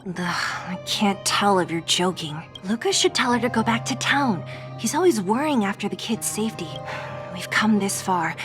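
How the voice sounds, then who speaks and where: A woman voicing a watchful young woman leading a covert chase in a video game, brisk and bossy in a conspiratorial way. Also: medium pitch, expressive, music in the background, lightly acted. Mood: puzzled, exasperated.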